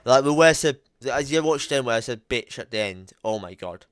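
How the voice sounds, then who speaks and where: A man's voice: medium pitch, expressive, brisk, no room sound, clean studio sound. A young man talking casually on a call from his home gaming room, blunt and emphatic, swearing now and then. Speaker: YouTuber.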